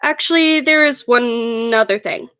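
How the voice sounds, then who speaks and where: A woman's voice: a little high, measured pace, pushed and bright, clean tone, no room sound, highs cut off. A woman voicing an awkward, good-natured teenage boy with a hesitant manner in a story reading.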